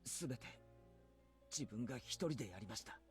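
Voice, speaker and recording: An actor voicing an earnest teenage boy who talks in a steady, clear, resolved way. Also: mid-range voice, rapid-fire, faint music behind, lightly acted. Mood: resolute. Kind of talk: Japanese game voice acting.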